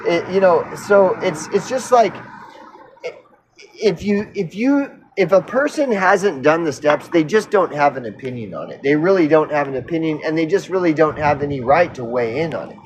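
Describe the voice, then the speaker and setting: A man of fifty on an unscripted personal rant, earnest and insistent, halting and repeating himself as he circles back mid-sentence, casually profane. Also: a little high, animated, slight background noise, low-quality recording. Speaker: recovery vlogger.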